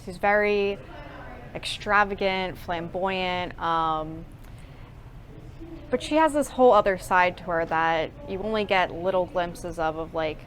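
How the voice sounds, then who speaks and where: A woman's voice: on the low side, a little slow. An American woman from New Jersey, a stage actor, talking in a cast interview. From New Jersey, United States. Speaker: musical theatre actor.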